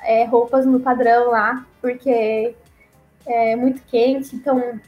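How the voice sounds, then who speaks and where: High-voiced woman, chatty. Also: flat delivery, slow pace, echoey room, lo-fi sound, soft background music. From Paraná, southern Brazil. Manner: chatty, laughs often, acts out quoted locals in a higher voice. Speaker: guest, former missionary. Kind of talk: casual conversational storytelling.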